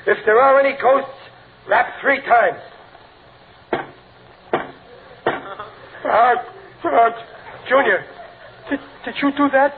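An actor playing a bumbling, good-hearted middle-aged American father in old radio comedy, stammering and folksy with rough working-class grammar. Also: very high-pitched, measured pace, heavily acted. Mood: frightened. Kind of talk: 1940s-50s radio comedy acting. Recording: noisy, tinny vintage radio recording.

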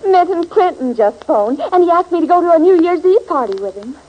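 A woman's voice: very high voice, expressive, fairly quick, lo-fi sound. An actress playing an eager, pouting teenage daughter with a girlish, pert manner in a 1940s radio sitcom. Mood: excited.

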